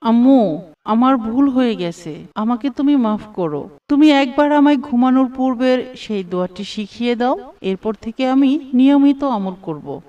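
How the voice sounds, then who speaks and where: An earnest young schoolboy, voiced by a woman, eager and sincere. The voice is fairly high. It comes from a little way off the mic and sounds dull and lo-fi. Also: lively intonation, normal speed, mellow, distant mic, lightly acted. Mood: apologetic.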